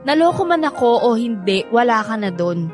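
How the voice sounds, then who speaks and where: A woman's voice: on the high side, big pitch swings, normal speed, soft background music. An actress with a Filipino accent playing an irritable, guarded young woman in a radio drama, sarcastic and combative. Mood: irritated, sarcastic.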